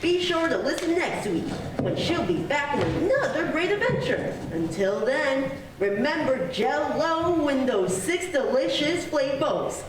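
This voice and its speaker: A woman's voice: high voice, sing-song, measured pace, crowd noise. A woman playing an old-time radio announcer signing off a comedy show and plugging its sponsor. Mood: upbeat. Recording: echoey, distant stage recording.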